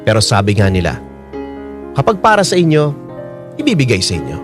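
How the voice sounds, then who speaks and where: An earnest Filipino husband tells his story as radio drama narration. His mid-pitched voice moves expressively and sentimentally over clear background music. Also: huge pitch swings, fairly quick. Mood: earnest, reflective. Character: earnest married man recounting his life story. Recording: music bed under the voice.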